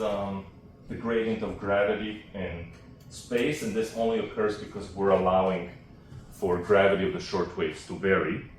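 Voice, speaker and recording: A man giving a technical seminar talk as a researcher, explaining informally and a little haltingly. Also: on the low side. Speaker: academic researcher presenting. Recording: roomy, distant mic, faint background noise.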